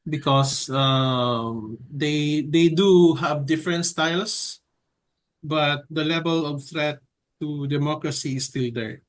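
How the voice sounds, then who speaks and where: Young Filipino man, higher-pitched voice. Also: steady tone, takes it slowly. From Philippines. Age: young adult. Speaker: editorial cartoonist being interviewed. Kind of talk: casual conversational interview.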